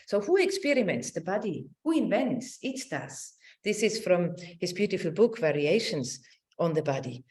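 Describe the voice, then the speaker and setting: Female lecturer, middle-aged, lowish pitch, halting and searching delivery over a video call. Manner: halting, searching for words, repeats phrases, tag "no?". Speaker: academic lecturer. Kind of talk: academic online talk with shared slides.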